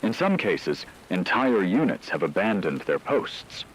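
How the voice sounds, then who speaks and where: A man playing a grave radio news anchor who reads a scripted emergency report in a measured, formal way, over a hissy broadcast. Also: low-pitched, sing-song, husky, exaggerated voice, rough recording.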